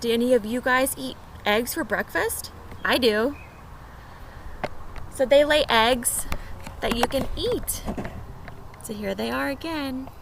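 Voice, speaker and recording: A woman with a fairly high voice reads and talks to young children at a slow pace. She keeps her phrasing simple and puts questions to them. There is clear noise behind her. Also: a bit bright. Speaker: teacher reading aloud to young children. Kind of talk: storybook read-aloud and chat for small children.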